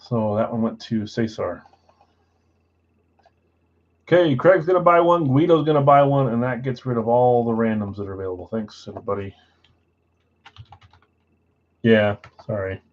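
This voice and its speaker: Low-key man hosting a card break stream. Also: moderate pace, long pauses, dull audio. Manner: self-described boring voice, low-key and matter-of-fact. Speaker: host of a live trading card break stream. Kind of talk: casual livestream chat, reading out buyers and spots.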